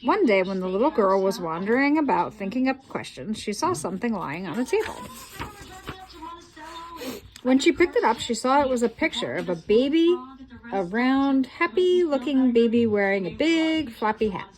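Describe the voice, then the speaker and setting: A woman reading a children's picture book aloud in a slow, expressive storytelling manner. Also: a little high, poor audio.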